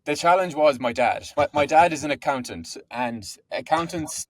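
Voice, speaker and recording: A man's voice: fairly high pitch, moderate pace, no room sound. A man in his thirties with an Irish accent, a podcast guest talking conversationally, often repeating words and adding asides. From Ireland. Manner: chatty, repeats words, says "to be honest". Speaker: podcast guest, software co-founder. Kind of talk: conversational interview.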